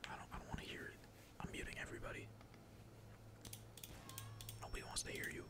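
A gamer speaks entirely in a breathy whisper, giving casual ASMR commentary on their play. The talk is slangy and peppered with swearing, while computer keys clack behind it. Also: fast talker. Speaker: gaming video creator. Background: computer keyboard typing.

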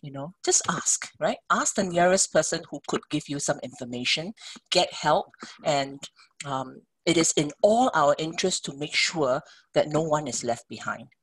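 A woman speaks as a webinar guest, earnest and repeating words while she looks for the right phrase. Her voice sits low and sounds husky. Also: expressive, moderate pace, rough recording. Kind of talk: webinar talk.

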